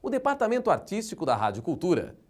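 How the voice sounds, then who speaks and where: A man's voice: high-pitched, wildly swooping pitch, quick pace, a little mellow. A man with a Brazilian accent narrating a scripted documentary.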